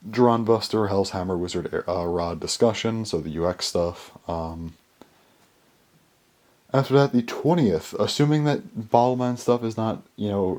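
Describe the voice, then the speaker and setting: A young man with a very low, deep voice gives a loose, chatty update. His voice is worn and hoarse, and he rambles along with many fillers and restarts, recorded by a camera in a small bedroom. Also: a little mellow. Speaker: toy collector vlogger.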